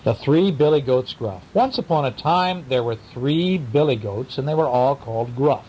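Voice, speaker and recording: A man's voice: moderate pace, mellow, low-quality recording. A man, a father, telling a bedtime story to a child in a playful, theatrical, teasing way, at home. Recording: home recording with rumble and background noise.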